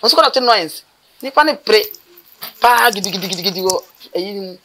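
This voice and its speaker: Middle-aged Ghanaian woman, mortuary worker; rapid, animated storyteller, recorded outdoors on camera. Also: medium pitch, slight background noise. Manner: animated, gesturing with her hands, rapid bursts of speech. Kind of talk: storytelling interview. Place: outdoors by a building wall.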